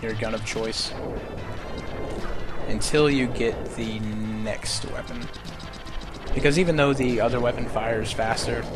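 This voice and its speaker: A young man casually explaining game tactics as he plays a speedrun live. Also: fairly low pitch. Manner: relaxed, explanatory, chatty about game tactics. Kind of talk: casual live commentary while playing. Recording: stream mic with game music behind. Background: game music.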